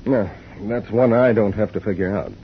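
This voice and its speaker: A man plays an easygoing, tired colleague in a 1950s radio crime show. His voice is deep and casual. The old recording is dull and clearly noisy. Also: normal speed, lightly acted. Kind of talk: 1950s American radio drama dialogue.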